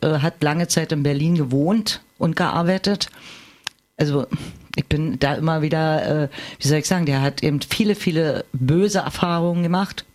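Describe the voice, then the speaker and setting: A middle-aged or older man talking casually and personally, asking questions and sharing experience. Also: fairly high pitch. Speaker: conversation participant asking questions.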